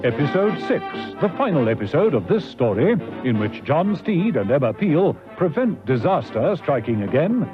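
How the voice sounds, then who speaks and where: A man's voice: a little high, big pitch swings, normal speed. A man narrating a recap of a 1960s radio serial in a dramatic, scripted style. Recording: dull old radio recording. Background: loud music.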